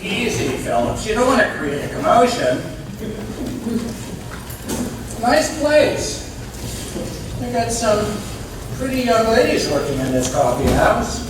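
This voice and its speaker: A man's voice: very high voice, big pitch swings, measured pace, exaggerated voice. A man acting on a community theatre stage as an affable, fatherly former parole officer, casual, wry and blunt. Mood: wry, cautious. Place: indoor theatre stage, mic far off. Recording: distant, very echoey stage recording with clear noise.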